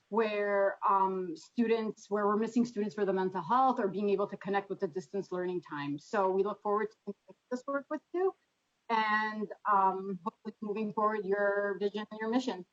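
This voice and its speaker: Earnest woman commissioner on a video call. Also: mid-range voice. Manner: earnest, halting with fillers. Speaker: commissioner, association president. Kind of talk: formal welcome at meeting. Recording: dull lo-fi video call audio.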